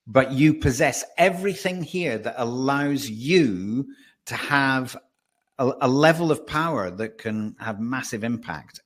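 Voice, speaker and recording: Man coaching on voice and breathing in an online session, lively, lots of restarts, slows right down to make a point. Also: mid-range voice. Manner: animated, restarts often, slows for emphasis. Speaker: voice and presence coach. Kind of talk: webinar guest explaining.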